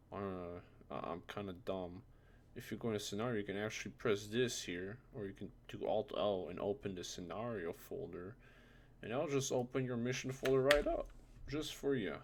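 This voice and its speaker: A man with a low, creaky voice who speaks English as a second language walks through a software tutorial. He is informal and unscripted, muttering small asides as he goes. Faint noise and keyboard typing sit behind his voice. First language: not English. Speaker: tutorial presenter.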